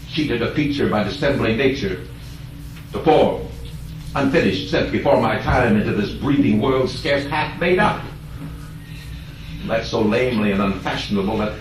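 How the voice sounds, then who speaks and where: A man's voice: on the low side, close to an everyday voice. Man performing a echoey, theatrical Shakespearean scheming villain. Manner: theatrical, declamatory, slow drawn-out emphasis. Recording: distant, echoey live hall recording.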